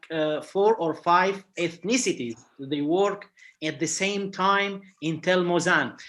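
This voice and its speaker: A Syrian man with an Arabic accent speaking on a video call panel, halting and searching for words. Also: sits high, fairly bright tone. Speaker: archaeologist panelist.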